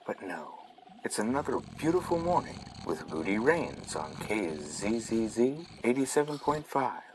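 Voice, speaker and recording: A man playing a mysterious late-night ambient radio DJ, with soothing, hushed, dramatic patter. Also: lowish voice, very animated, unhurried, noisy background.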